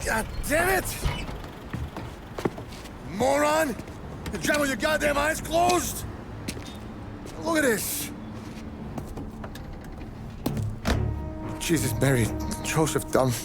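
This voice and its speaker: High, creaky, excitable American boxer. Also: male voice, slow, long pauses, over loud music, heavily acted. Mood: furious. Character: hot-headed young male boxer turned cowering, stammering apologizer. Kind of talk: cinematic crime game acting.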